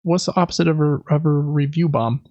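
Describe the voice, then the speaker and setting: A man's voice: fairly high pitch, steady tone, fairly quick, darkish tone, no room sound, dull audio. A man co-hosting a podcast, talking through news casually in an informal, rambling, self-correcting way. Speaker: podcast co-host. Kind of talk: casual gaming news chat.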